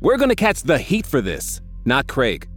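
A cocky, wry teenage gang leader who talks gruffly and sarcastically, as a male narrator puts him on. His pitch moves a lot. Soft music runs behind him. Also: huge pitch swings, moderate pace. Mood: tough.

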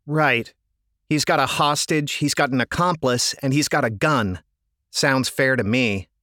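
A man's voice: medium pitch, a little slow, pushed and bright, no room sound, clean studio sound, pitched above the performer's own voice. A man voicing a blunt, sardonic teenage gang member in an audiobook. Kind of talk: audiobook character dialogue.

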